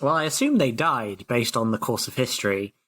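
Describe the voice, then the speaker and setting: A man's voice: medium pitch, animated, fairly quick, fairly bright tone, breathy edge. A man playing in a tabletop game, asking dry questions in casual banter. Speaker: player.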